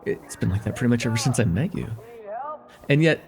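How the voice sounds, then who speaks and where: A man's voice: mid-range voice, expressive, a little fast, vocal fry. A young man playing a friendly, forgetful host in a slice-of-life ASMR roleplay, chatting casually and hesitantly with a close friend at home. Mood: fond, flustered. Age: young adult. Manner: hesitant, rambling, chuckling, easygoing.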